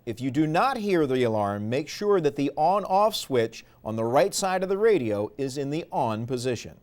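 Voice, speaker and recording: A man's voice: mid-range voice, sing-song, normal speed, a little mellow. A man narrating a product tutorial step by step in a methodical, explanatory way. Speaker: instructional presenter.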